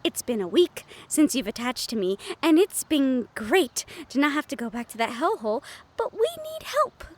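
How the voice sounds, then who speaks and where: A woman's voice: high voice, very animated, moderate pace. An actress playing an anxious, sweary young woman haunted by a ghost, exasperated and conversational in an audio roleplay. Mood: exasperated, pleading.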